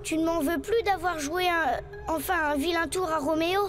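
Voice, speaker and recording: Casting: good-hearted animated schoolboy, high-pitched, casual and boyish, over background music. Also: female voice, moderate pace. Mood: hesitant. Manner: slangy, boyish, earnest. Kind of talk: French animated series acting.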